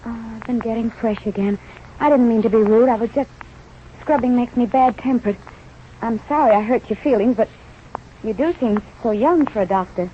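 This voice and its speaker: An actress playing a cheeky, devoted young nurse trainee from North Dakota in an old-time radio drama, speaking in a frank, informal way. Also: female voice, a little high, moderate pace, slight background noise, close to an everyday voice. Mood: apologetic. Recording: muffled vintage radio broadcast recording.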